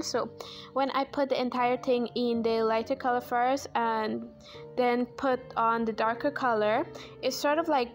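A young Guyanese woman with a high, light voice rambles cheerfully through an art vlog, full of self-deprecating asides. She talks casually while drawing at a desk indoors, with faint music playing underneath. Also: fairly bright tone. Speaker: art channel host. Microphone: overhead camera on hands.

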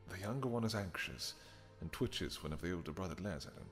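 A man playing a suave male vampire king, smooth, flirtatious and dryly amused, in an audio roleplay. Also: low-pitched, lively intonation, fast talker, a bit bright. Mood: teasing. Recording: long reverb with music behind.

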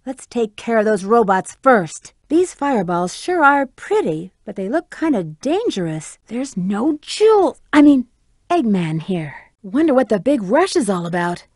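A woman's voice: on the high side, very animated, unhurried, darkish tone, dry recording, studio quality. A woman voicing a sultry, sassy female bat treasure hunter in a video game, teasing, confident and flirtatious. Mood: curious, playful. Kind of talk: video game character voice acting.

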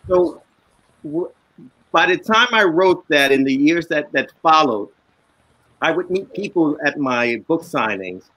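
A man's voice: fairly high pitch, expressive, measured pace, bright, forceful tone, no echo, rough recording. A man, an author and illustrator, telling a reflective story on a video call in a casual way. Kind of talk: panel discussion answer. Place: home room with bookshelves.

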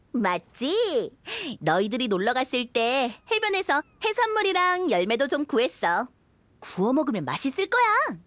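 A woman's voice: high-pitched, wildly swooping pitch, measured pace, fairly bright tone, no room sound, exaggerated voice. A woman voicing a cheerful, caring young girl in a video game, friendly and eager in manner. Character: cheerful, caring young girl who feeds her companions. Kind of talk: animated video game voice acting.

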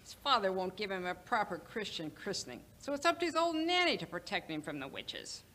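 A woman's voice: mid-range voice, very animated, a little fast, sharp tone. A woman playing a superstitious, feisty old nanny with an Irish accent in a radio drama, folksy and scolding. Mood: fretful, insistent. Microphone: stand microphone.

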